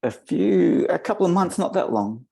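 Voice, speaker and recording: Man, fifties, halting and hesitant, pauses often, speaking over a video call. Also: high-pitched, very animated, gravelly, warm tone, husky. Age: 50s. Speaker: participant in a coaching session.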